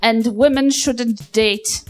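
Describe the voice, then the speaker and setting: A woman's voice: a little high, steady tone. A woman voicing a disapproving, scolding old granny adventurer in a live tabletop game. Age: elderly figure. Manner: scolding, halting, deliberate. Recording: music under a live stage recording.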